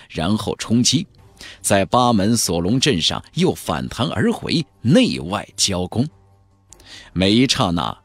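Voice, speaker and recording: A man narrating a fantasy novel as a dramatic audiobook, with a theatrical, emphatic delivery. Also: fairly low pitch.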